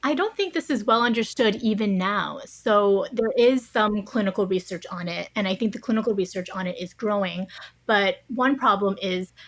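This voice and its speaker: A woman's voice: mid-range voice, moderate pace, fairly bright tone. A woman speaking as an interview guest, explaining things in an articulate, conversational way. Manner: articulate, explanatory, often checks with "you know" and "right?". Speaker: podcast guest, author interviewed on a book.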